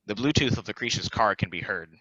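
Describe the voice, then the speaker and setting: A man's voice: lowish voice, animated, fast, bright, forceful tone, no echo. A man reading stage directions as the narrator of a table read, on a slightly dull home microphone.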